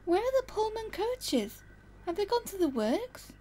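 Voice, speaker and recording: A very high, girlish female steam engine from a children's storybook, acted by a woman. She speaks politely and slowly, with faint noise in the recording. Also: sing-song, mellow, full character voice. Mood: worried. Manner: cheery, slow-paced. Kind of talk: children's railway storybook character voice.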